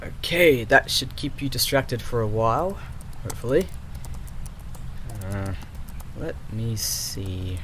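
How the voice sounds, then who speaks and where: A man acting a nervous, secretive AI developer in an audio roleplay, halting and stammering as he mutters. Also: medium pitch, lively intonation, noisy background. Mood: suspicious, musing. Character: nervous, secretive male AI developer, flustered and suspicious.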